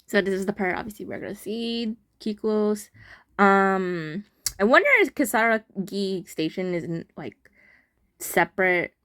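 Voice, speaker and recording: A woman's voice: medium pitch, unhurried, fairly bright tone, no room sound, clean studio sound. A woman giving casual, informal playthrough commentary on a game, thinking aloud and often saying "like" as she goes. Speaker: game streamer.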